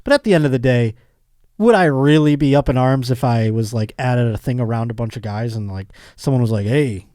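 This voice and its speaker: A man's voice: medium pitch, fairly quick, warm tone, dry recording, clean studio sound. A middle-aged American man hosting a podcast in casual, comedic banter, joking and teasing as he talks. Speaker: podcast host.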